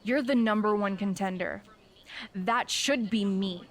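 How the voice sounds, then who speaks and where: An actress playing a smug, scheming wrestler in a video game, with an American accent and sarcastic mock sincerity. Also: female voice, mid-range voice, unhurried, close to an everyday voice. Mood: smug, demanding. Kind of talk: video game cutscene acting, wrestling promo.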